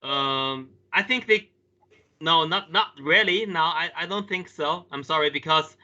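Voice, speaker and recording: A man, a professor answering questions at an online conference in halting English with many fillers. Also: on the high side, pushed and bright. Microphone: video call. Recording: muffled video call audio.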